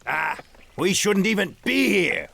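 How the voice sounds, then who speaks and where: An actor playing a wary, grumbling human soldier in a video game, husky and gravelly. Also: male voice, high voice, very animated, unhurried, pushed and bright, heavily acted. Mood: uneasy. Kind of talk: video game cutscene acting.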